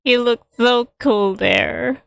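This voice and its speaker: A woman's voice: on the high side, huge pitch swings, takes it slowly, vocal fry, fairly bright tone, smooth, no echo, clean studio sound. A woman giving casual gameplay commentary as a streamer, musing aloud in a hesitant way. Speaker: game streamer.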